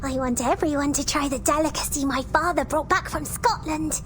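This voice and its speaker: A woman's voice: high voice, moderate pace, noisy background, lightly acted. An actress voicing an eager, curious young noble lady who talks in a wide-eyed way.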